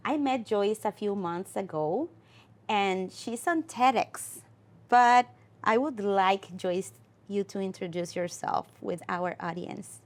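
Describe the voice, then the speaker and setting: A woman hosting an interview, asking questions in simple, sometimes halting phrasing. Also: medium pitch, animated. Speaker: host.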